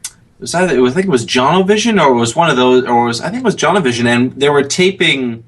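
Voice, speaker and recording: A man's voice: mid-range voice, lively intonation, fast talker. A man talking casually and conversationally as a guest on a podcast. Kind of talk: casual chat, anecdote.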